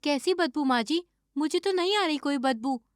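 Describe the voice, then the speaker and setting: A woman playing an evasive, stubborn young daughter-in-law who acts innocent in an animated moral story. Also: high-pitched, normal speed, no room sound, studio quality. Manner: defensive, feigning innocence.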